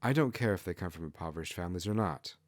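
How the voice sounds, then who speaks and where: A man's voice: low-pitched, expressive, quick pace, no room sound, clean studio sound. A man voicing a principled, helpful female kitsune clan leader, confident and earnest, in a fantasy story. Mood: confident, resolute.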